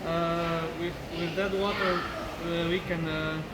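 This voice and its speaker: Hesitant Slovenian man, flat voice. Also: a little high, takes it slowly. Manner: hesitant, restarts often. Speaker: student team member presenting a project. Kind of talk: casual project presentation. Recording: loud background noise.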